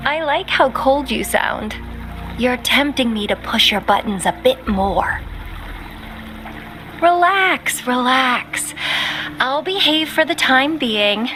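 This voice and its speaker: A woman's voice: sits high, big pitch swings, slow pace, pauses often, bright, forceful tone, faint music behind, full character voice. A woman voice-acting a playful, seductive femme fatale in a video game, with a coy, teasing, flirtatious delivery. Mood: seductive, possessive.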